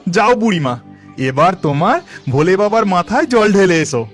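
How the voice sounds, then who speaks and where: A man's voice: high-pitched, lively intonation, moderate pace, faint music behind. A man voicing a kindly god in disguise in a cartoon, coaxing and reassuring. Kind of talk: cartoon voice acting.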